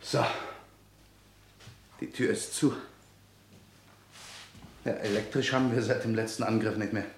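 Casting: young wartime resistance man in a radio drama; low, gravelly, hushed, tender and wry. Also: steady tone, a little slow, pauses often. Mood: playful, hushed. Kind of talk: German radio play acting, intimate dialogue and narration. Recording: faint background noise.

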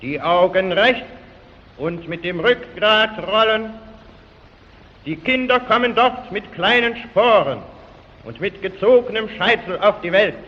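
A man's voice: high-pitched, lively intonation, measured pace, bright, forceful tone. A man giving a theatrical cabaret performance of satirical verse, in a dull, reverberant recording. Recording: dull sound with long hall reverb.

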